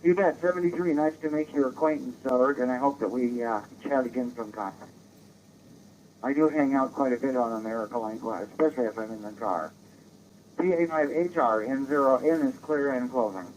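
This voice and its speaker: A man's voice: medium pitch, fairly quick, darkish tone. A man chatting casually as an amateur radio operator, heard through a digital radio transmission. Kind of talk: casual ham radio chat. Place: in a car. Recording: dull digital radio link, faint noise.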